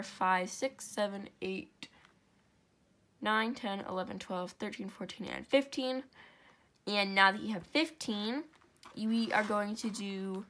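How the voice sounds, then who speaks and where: A young woman with a congested, stuffy nose walks viewers through a crafting project step by step. Her talk is chatty and rambling, full of asides and quick self-corrections, with the easy tone of someone filming at home. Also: medium pitch, fairly bright tone. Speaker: craft tutorial host.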